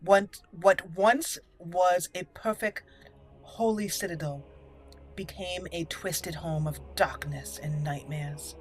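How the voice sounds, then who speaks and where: Woman doing fantasy game roleplay narration, deliberate storyteller delivery, soft music underneath. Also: fairly low pitch, fairly even intonation, rough recording. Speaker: gaming channel host. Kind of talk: roleplay game commentary and dramatic lore reading. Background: faint game music.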